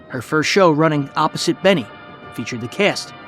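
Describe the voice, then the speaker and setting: A man's voice: fairly high pitch, animated, normal speed, over music. A man reading scripted documentary narration as a podcast host.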